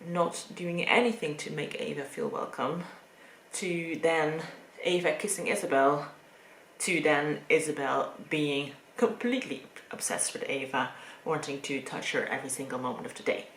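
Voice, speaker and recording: A woman speaking English, casually reviewing books in a thoughtful, hesitant way with frequent pauses. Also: low voice. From Netherlands. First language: Dutch. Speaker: book reviewer. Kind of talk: casual book review vlog. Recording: roomy echo, faint background noise.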